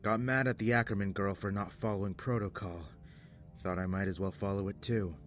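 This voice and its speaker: A man acts an irritable squad captain in a sci-fi anime parody. His voice is low for the role, and he answers in curt, gruff bursts. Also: steady tone, fairly quick, soft background music, lightly acted. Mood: guarded, curt.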